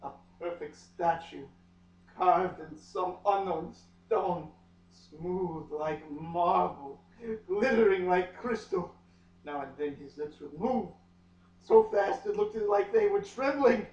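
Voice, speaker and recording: Male reader, slow and overwrought, comic dramatic delivery with long pauses; muffled, distant room recording. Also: high voice, a little mellow. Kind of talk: melodramatic comic dramatic reading of a novel. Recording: echoey room, far from mic, muffled.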